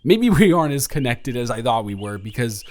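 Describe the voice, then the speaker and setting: A man's voice: medium pitch, big pitch swings, brisk, darkish tone, natural voice. A young man playing an awkward, smitten, introverted college dormmate in a romantic audio roleplay, rambling and stammering in a flustered way. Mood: playfully indignant. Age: young adult. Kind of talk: intimate romantic audio roleplay, conversational acting.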